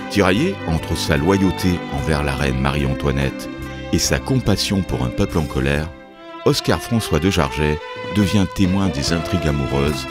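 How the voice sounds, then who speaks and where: Very deep-voiced French man narrating. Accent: French from France. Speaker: documentary narrator. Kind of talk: scripted narration. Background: music behind the voice-over.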